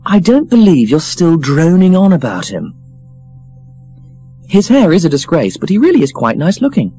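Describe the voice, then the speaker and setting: A man's voice: a little high, wildly swooping pitch, moderate pace, mellow, breathy edge, faint music behind, pitched above the performer's own voice, full character voice. Guy doing a snobby young woman, raised swoopy voice, cutting and sweary, audiobook style. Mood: scornful, exasperated. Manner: sneering, emphatic, cutting. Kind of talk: audiobook character voice.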